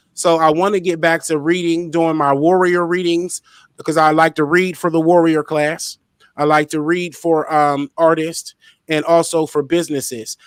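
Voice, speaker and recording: A man's voice: fairly high pitch, smooth, dry recording. An outspoken, playful man hosting a casual interview and talking about himself. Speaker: interviewer, show host.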